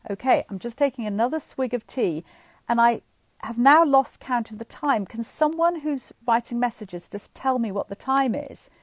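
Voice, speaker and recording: A middle-aged woman reading a book aloud to online listeners in an expressive, informal, chatty way. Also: on the high side, moderate pace, no room sound. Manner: informal, chatty asides between expressive read passages. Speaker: guest reader of a bedtime story series. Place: indoors at home, on a live online stream.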